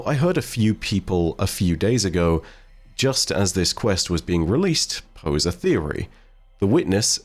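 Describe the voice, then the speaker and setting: A man giving explanatory video game lore commentary to viewers in a measured, articulate, conversational way. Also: low voice. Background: faint music.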